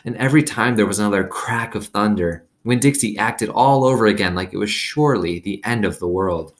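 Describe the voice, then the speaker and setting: A man with a low-pitched voice reads a children's novel aloud. He narrates expressively, as a storyteller would for young listeners. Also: fairly quick.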